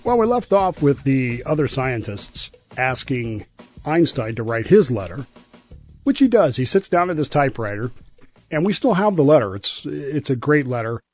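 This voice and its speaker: A man's voice: mid-range voice, very animated, moderate pace, a little mellow, faint music behind. A man telling history conversationally as a show guest, with an enthusiastic, emphatic delivery that sometimes halts and repeats words.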